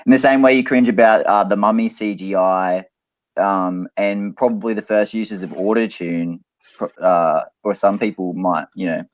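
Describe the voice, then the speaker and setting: A man's voice: lowish voice, fairly even intonation, normal speed, dry recording. A young man, hosting a casual podcast discussion. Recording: slightly dull, lo-fi audio.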